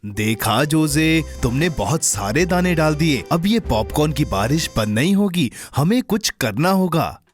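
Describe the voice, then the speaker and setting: An actor voicing a sensible, caring, cautious companion in a cartoon dub, expressive and gently admonishing. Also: mid-range voice, measured pace, few pauses. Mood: concerned, cautioning. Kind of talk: cartoon dubbing. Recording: music bed under the voice.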